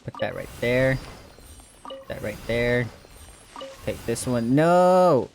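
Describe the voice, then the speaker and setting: A man's voice: medium pitch, lively intonation, slow, gravelly, a little mellow, slight background noise. A man commenting casually on a video game as he plays, chatty and reactive. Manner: chatty, reactive, talks to the screen. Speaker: gaming streamer. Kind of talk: let's play commentary. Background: game music.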